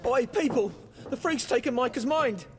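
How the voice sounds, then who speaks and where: An actor playing a hostile, rowdy tavern thug in a video game, jeering aggressively. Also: male voice, very high-pitched, normal speed. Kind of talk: video game cinematic acting. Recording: crowd chatter behind the voice.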